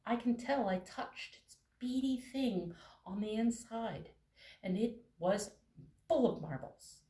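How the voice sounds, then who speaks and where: Woman voicing a timid little mouse, soft, high-pitched, storybook. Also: slow pace, echoey room. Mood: earnest.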